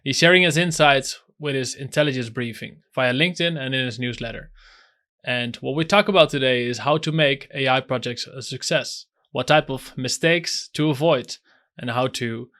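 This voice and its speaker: Bright-voiced male podcast host. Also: medium pitch. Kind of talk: podcast intro and interview.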